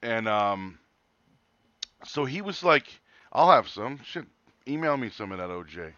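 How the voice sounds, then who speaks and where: Bright-voiced man in his forties, dull recording. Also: mid-range voice, big pitch swings, a little slow, no room sound. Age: 40s. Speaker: co-host of a wrestling talk show. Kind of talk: casual chat, anecdotes.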